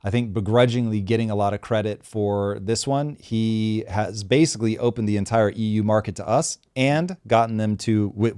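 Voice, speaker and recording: Low-voiced man hosting a live show. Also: clean tone, no echo, clean studio sound. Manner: animated, explains at length with examples. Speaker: show host.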